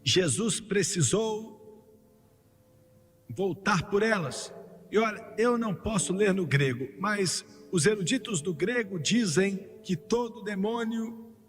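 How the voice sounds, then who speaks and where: Fervent male preacher, high voice, long hall reverb, soft music under the sermon. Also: animated, slow pace, husky. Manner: emphatic preaching that climbs to fervent, shouted peaks, with joking asides. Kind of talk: sermon, voiced over in translation.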